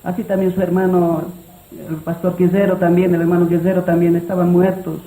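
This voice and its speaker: An actor as a grave church brother in a radio drama, reporting sorrowfully in a flat, highish voice. The room is echoey and a little noisy. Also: male voice, brisk, lightly acted. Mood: sombre. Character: sombre male church brother, grave messenger. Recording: echoey room with background noise.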